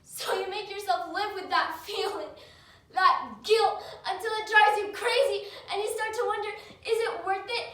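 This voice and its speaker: A woman performing a dramatic monologue as a grieving, guilt-ridden young woman, with emotional, deliberate delivery. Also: high-pitched. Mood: despairing, intense. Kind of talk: competition dramatic interpretation monologue. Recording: echoey room, far mic, faint noise.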